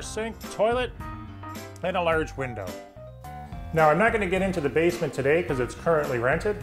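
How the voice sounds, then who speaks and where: Higher-voiced middle-aged man, upbeat property pitch. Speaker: real estate agent presenting a property tour. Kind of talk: scripted sales presentation and tour narration.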